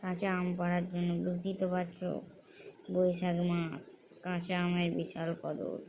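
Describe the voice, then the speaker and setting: A woman's voice: lowish voice, monotone, moderate pace, darkish tone, lightly acted. A woman voicing a cheeky, sly young village boy in an amateur comic cartoon, wheedling and mock-innocent. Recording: faintly noisy amateur recording.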